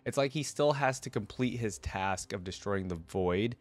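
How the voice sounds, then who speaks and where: A man with a fairly low voice, recorded dry and close to the mic. He hosts reaction commentary in a casual, conversational way, thinking aloud and asking his audience questions as he reads. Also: moderate pace, no echo. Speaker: host of a reaction show.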